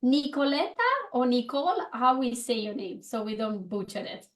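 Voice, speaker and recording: A woman's voice: a little high, normal speed. A woman with a Romanian accent hosting a video-call networking circle, bubbly and repeating her words. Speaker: host of a networking event. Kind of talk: casual group introductions.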